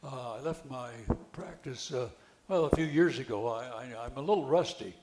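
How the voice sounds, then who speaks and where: An older man reading the part of a hesitant, unassuming retired lawyer in an amateur play, halting as he goes. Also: mid-range voice, background noise, lightly acted.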